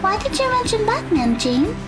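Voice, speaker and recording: A woman's voice: very high-pitched, sing-song, moderate pace. An actress playing a sweet, polite, curious young fairy girl in an audio drama. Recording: music behind the voice.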